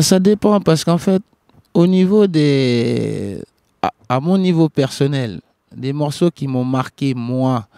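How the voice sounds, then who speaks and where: Mellow-voiced man answering interview questions. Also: medium pitch, clear, resonant tone, no echo. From West Africa, grew up in Liberia. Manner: halting, many restarts and fillers. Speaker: reggae singer, guest.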